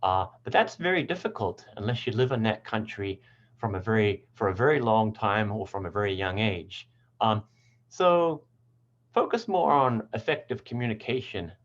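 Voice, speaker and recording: A man from New Zealand with a mixed New Zealand, American and British accent, explaining English pronunciation in a relaxed online lesson, with frequent ums. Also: on the low side, muffled recording.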